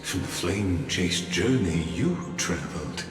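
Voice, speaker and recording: A man voicing a grand, cryptic theatrical guide in a video game, portentous and drawn-out like a showman. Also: deep voice, animated. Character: grand, cryptic theatrical narrator guiding a spectator. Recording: echoey hall reverb, music behind.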